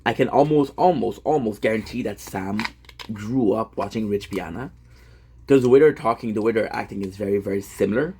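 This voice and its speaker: A man's voice: on the low side, brisk, mellow, a little hiss or hum. A man in his thirties, a gaming content creator, talking in a rambling, self-deprecating monologue full of fillers and restarts. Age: 30s.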